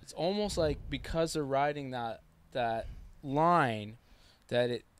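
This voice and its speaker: Easygoing mid-pitched man chatting. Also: takes it slowly, clear, resonant tone. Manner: easygoing, agreeable, trails off often. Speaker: co-host. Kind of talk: casual review chat.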